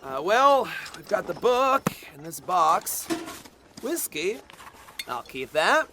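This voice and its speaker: A cocky young frontier fortune seeker in an audio drama. He is talkative and wheedling, with a lively, swooping pitch and faint background noise. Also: slow pace, full character voice. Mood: casual. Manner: talkative, wheedling, stammers when challenged.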